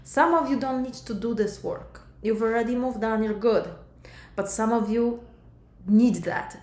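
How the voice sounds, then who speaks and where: A middle-aged woman with a Polish accent speaks as a life coach, addressing her listeners directly and fondly. Her pitch sits in an ordinary middle range. The recording carries a roomy echo. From Poland. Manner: warm, direct, addresses viewer as sister and my love. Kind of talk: coaching monologue to camera.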